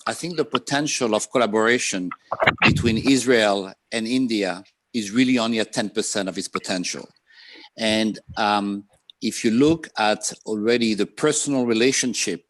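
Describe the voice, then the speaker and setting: A man from France with a French accent, presenting as a panelist on a video call, measured and pausing with "um" between points. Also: on the low side, background noise.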